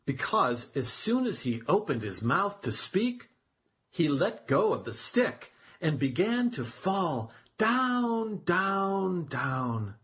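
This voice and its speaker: A storyteller reading a children's tale aloud as expressive, deliberate narration. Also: medium pitch, husky.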